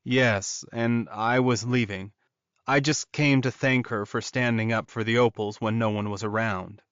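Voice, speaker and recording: Man as a young male pack leader, formal, polite, reserved, mid-pitched, audiobook. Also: steady tone, measured pace, a bit bright, clean tone, dry recording, highs cut off, natural voice. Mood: reserved, courteous.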